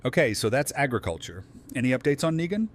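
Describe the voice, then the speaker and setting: An actor playing a friendly survivor on a community council in an audio drama, speaking casually. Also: male voice, medium pitch, sing-song, quick pace, natural voice. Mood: businesslike.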